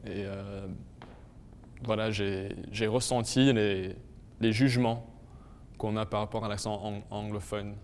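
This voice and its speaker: A man giving a measured personal testimony, recounting a memory. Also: on the low side, level tone, slight background noise. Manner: measured, storytelling. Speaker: interviewee. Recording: echoey room with faint music.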